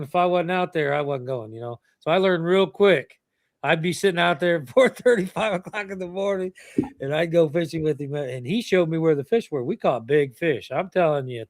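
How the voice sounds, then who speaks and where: A man's voice: on the high side, no echo, clean studio sound. A middle-aged man hosting a casual family chat, telling rambling stories and chuckling as he goes. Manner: rambling storyteller, chuckles often. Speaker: channel host.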